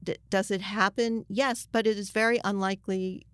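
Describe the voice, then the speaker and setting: An older woman, a journalist being interviewed, giving her opinions in a halting but emphatic way. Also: medium pitch, steady tone, clean tone, no room sound, studio quality.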